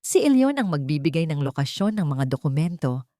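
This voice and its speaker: Female storyteller, low register, dramatic scripted narration, dry close sound. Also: sing-song, a little fast, warm tone, no room sound.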